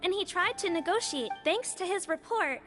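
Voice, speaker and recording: An earnest small female officer for an animated game. The pitch is extremely high with plenty of movement. Music sits clearly behind the voice. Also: moderate pace. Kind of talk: animated video game voice acting.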